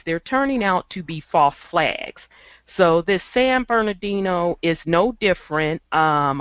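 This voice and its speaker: Man, hoarse radio host, easygoing folksy chat, muffled dry sound. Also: high-pitched, dry recording. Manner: laid-back, chuckling, folksy. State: hoarse from a cold. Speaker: host of a call-in internet radio show. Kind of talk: casual call-in radio chat.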